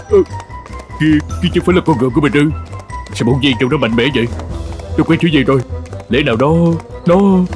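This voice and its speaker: An actor playing a farmer who owns a horse in an animated fairy tale, theatrical, exclamatory and prone to stammering. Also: male voice, mid-range voice, close to an everyday voice. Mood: astonished. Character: old-fashioned male farmer, horse's master, astonished then remorseful.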